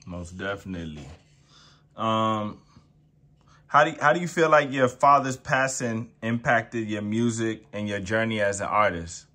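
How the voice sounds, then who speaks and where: A man's voice: lowish voice, unhurried, sharp tone. A young man hosting a casual interview, easygoing and slangy, calling his guest "man". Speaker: interview host.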